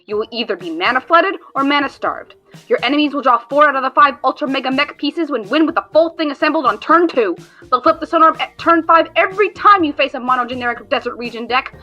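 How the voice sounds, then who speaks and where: A woman acts out a sharp-tongued, mischievous heroine in an audio drama. Her voice is high and bright, swooping theatrically as she taunts with cocky relish. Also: animated, fast, soft background music. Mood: exasperated, threatening. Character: cocky, mischievous female card-playing adventurer, sharp-tongued.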